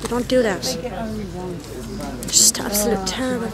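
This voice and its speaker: A woman in her thirties talks spontaneously in an interview outdoors, close to a handheld camera. Her voice is fairly high and her delivery is emphatic, with repeated phrases. People can be clearly heard around her. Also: moderate pace. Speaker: resident interviewee. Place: outdoors at night. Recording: outdoor crowd noise behind.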